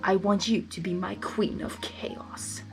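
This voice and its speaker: A woman's voice: mid-range voice, fairly even intonation, takes it slowly, gravelly, breathy edge, in a whisper, soft background music, exaggerated voice. A woman voicing a sly, taunting male chaos creature with a smirking, sing-song delivery in a storybook reading. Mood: menacing. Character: sly, mocking male Draconequus, lord of chaos.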